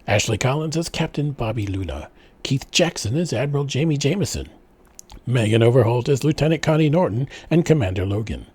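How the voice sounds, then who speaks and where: Husky male credits announcer. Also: mid-range voice, animated, brisk, darkish tone. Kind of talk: scripted announcement.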